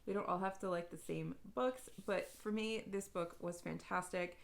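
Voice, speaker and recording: A woman's voice: fairly low pitch, steady tone, normal speed, vocal fry. A woman chatting casually to viewers as a book reviewer, informal, gushing and emphatic, with some self-deprecation. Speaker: book reviewer, video host.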